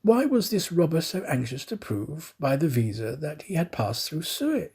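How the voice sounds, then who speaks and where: A man voicing a measured, sceptical consul in a storybook, formal and questioning. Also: on the high side, very animated, a little mellow, slightly rough, exaggerated voice.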